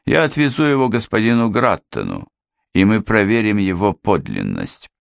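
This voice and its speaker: A man voicing a composed, polite male lawyer who speaks in a measured, firm way. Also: lowish voice, wildly swooping pitch, creaky, no room sound, voice pushed up, exaggerated voice. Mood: calm. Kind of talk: audiobook character voice.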